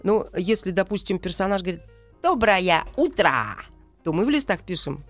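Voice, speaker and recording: A woman's voice: fairly low pitch, very animated, normal speed, faint music behind. A woman speaking as an interview guest, explaining a craft step by step with examples. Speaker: guest animation director and screenwriter.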